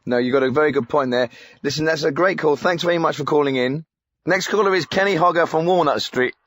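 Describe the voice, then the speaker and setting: A man's voice: on the high side, fast talker, fairly bright tone, no room sound, clean studio sound. An actor playing an agreeable, awkward, inarticulate man hosting a comedy radio phone-in. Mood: chatty. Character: inane, agreeable male radio phone-in host. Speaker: host.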